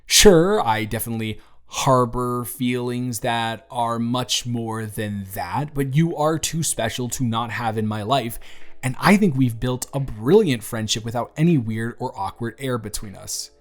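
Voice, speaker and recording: A young man playing an earnest, lovestruck best friend in an intimate audio roleplay, speaking in a halting, conversational way. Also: medium pitch, lightly acted. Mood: earnest, confessing. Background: background music.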